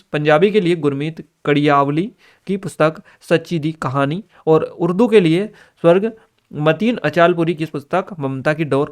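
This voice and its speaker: Guy, slightly high voice, going thru exam questions and answers like a teacher. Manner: instructional, reads notes aloud, checks in with listeners. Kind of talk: exam paper analysis lesson, reading out questions and explaining answers.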